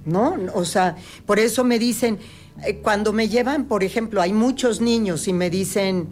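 A woman's voice: mid-range voice, normal speed, darkish tone, faint music behind. A woman on a talk show, patiently explaining health topics with everyday examples. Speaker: health guest expert.

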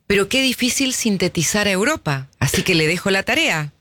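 A man hosting a radio show, lively and playful as he introduces a guest. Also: high-pitched, a little fast, no echo. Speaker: radio host. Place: radio studio.

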